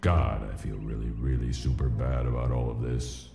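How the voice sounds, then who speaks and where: A cartoon God who is really a self-absorbed slacker gamer. The voice is very deep and gravelly, roomy with echo, yet he talks in loose, casual slang. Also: steady tone, moderate pace, dark tone. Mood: sheepish. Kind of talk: cartoon video game voice acting. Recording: roomy echo with music behind.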